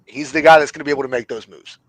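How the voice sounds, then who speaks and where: A man on a casual sports talk panel who talks quickly and often uses the filler "like". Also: a little high, steady tone, a bit bright, rough recording.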